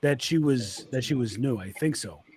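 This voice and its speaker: A man's voice: medium pitch, lively intonation, moderate pace, a crowd in the background. A man from Boston with a Boston accent, a guest telling stories in casual chat, stammering and repeating words as he goes.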